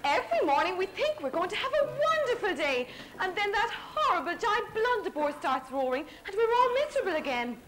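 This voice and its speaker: A woman's voice: extremely high pitch, sing-song, a little fast. A young woman playing a loyal, spirited pantomime village girl, projecting earnestly and emphatically. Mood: exasperated. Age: young adult. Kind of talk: projected stage pantomime acting. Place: theatre stage, indoors. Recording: very noisy, clicky theatre stage recording.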